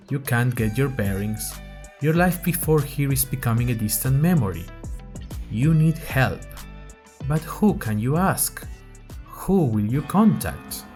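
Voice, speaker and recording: A man playing a persuasive secret-brotherhood recruiter in a parody advertisement, mock-grave like a pitchman. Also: darkish tone, close to an everyday voice.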